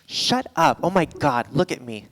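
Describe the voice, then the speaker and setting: Low, unhurried, exasperated woman livestreamer. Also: animated, exaggerated voice. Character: irritable, foul-mouthed woman video-game livestreamer addressing chat. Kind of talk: live staged play reading. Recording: echoey theatre hall, live stage.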